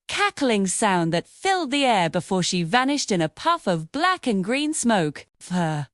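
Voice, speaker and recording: A woman's voice: mid-range voice, dry recording, clean studio sound. A woman reading a scripted fairy tale for children as the storyteller.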